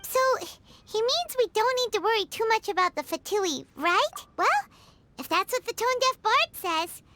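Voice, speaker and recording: A woman voicing a tiny, bossy, chatty childlike fairy companion in a game, with a squeaky, excitable delivery. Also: normal speed, exaggerated voice. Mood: reassured. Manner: excitable, refers to herself by name. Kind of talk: English game dub, cartoonish cutscene acting.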